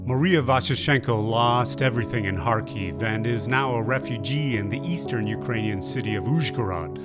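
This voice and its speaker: A man's voice: mid-range voice, normal speed, over loud music. A man working as a documentary host, speaking in narration and casual interview.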